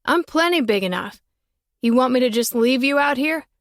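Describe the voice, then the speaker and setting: A woman's voice: high voice, animated, normal speed, no room sound, studio quality, pitched above the performer's own voice. A woman voicing a brave, boastful young boy who teases and reassures. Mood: cocky. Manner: boastful, teasing. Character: cocky, brave young boy who calls himself a dragon.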